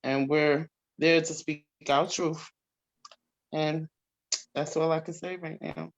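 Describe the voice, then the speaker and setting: A man's voice: a little high, fairly even intonation, slow pace, muffled recording. A man talking casually in a panel discussion as a member of a stage cast.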